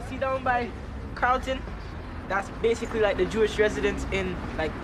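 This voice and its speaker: High-voiced man on a noisy street. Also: normal speed. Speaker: local resident. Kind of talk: casual street interview. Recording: outdoor street recording with traffic noise.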